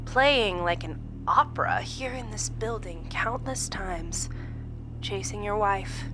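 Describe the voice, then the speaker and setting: A woman's voice: medium pitch, lively intonation, slow pace, music in the background. An actress playing a smug, playfully cruel supernatural woman in a horror audio drama, speaking in a mocking, theatrical way. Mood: taunting. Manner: mocking, theatrical, breaking into chuckles and sighs.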